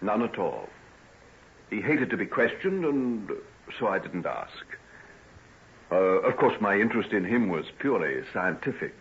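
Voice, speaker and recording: An actor playing a guarded Victorian gentleman doctor in an old-time radio drama, with measured, hesitant answers. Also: fairly low pitch, very animated, exaggerated voice. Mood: guarded, evasive. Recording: dull vintage radio recording.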